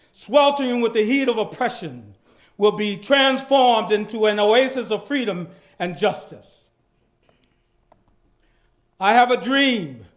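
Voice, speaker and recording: A man's voice: very high voice, fairly bright tone. A man giving a dramatic reading of a speech in preacher-like civil rights oratory, emphatic and declamatory, drawing out phrases and leaning on repetition. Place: live public event at a heritage center.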